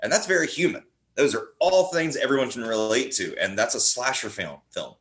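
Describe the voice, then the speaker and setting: A man's voice: medium pitch, animated, fairly quick, a bit bright. A man chatting casually and enthusiastically about films as a podcast guest, rambling through stories and restarting his words as he goes. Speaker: podcast guest, horror playwright. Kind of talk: casual enthusiastic film chat. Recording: roomy, echoing sound.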